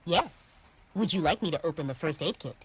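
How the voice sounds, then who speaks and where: A woman voicing a calm, literal, obedient ship's computer in an audio drama, formal and emotionless. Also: low voice, brisk, husky, dry recording, low-quality recording. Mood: neutral.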